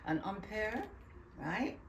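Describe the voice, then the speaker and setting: An older actress from New York tells animated stories about her roles in a casual interview, with laughing asides. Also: medium pitch, takes it slowly, slight background noise. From USA (New York). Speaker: guest actress. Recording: roomy echo.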